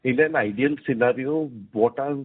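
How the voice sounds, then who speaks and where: A man's voice: mid-range voice, moderate pace, slightly rough. A man speaking as an analyst, asking management a question on an earnings call. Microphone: phone. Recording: dry narrow phone line.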